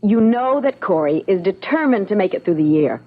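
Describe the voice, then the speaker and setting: An actress playing a defiant, sharp-tongued young woman, firm and indignant, in old-time radio drama style. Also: medium pitch, animated, fairly quick, lightly acted. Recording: dull, muffled old radio sound.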